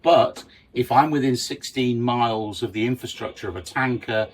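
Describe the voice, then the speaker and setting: A man's voice: mid-range voice, moderate pace. A man teaching a practical lesson, explaining step by step and stressing key points in a didactic, emphatic way. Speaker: sailing instructor. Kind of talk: explanatory lesson with worked examples.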